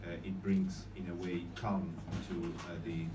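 A young man with a low voice reads poems slowly at an open mic in a small room. He is heard from far back in the audience. The room echoes, and steady noise runs under him. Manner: hesitant, many fillers when explaining; slow, measured recitation. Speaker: poetry reader. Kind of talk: poetry reading at an open mic. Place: small cozy room with string lights, indoors. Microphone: handheld mic, filmed from far in the audience. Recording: echoey room recorded from afar, noisy.

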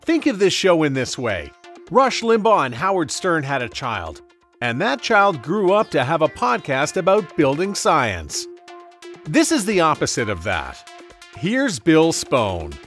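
A man's voice: a little high, huge pitch swings, unhurried, a bit bright, soft background music. A man reading a scripted, tongue-in-cheek podcast intro as announcer. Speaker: network announcer.

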